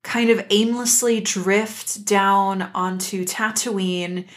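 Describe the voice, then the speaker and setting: A woman's voice: mid-range voice, flat delivery, slow pace. A woman co-hosting a conversational discussion show, speaking in a thoughtful, articulate and reflective way. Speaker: podcast co-host. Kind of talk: conversational discussion of an animated series.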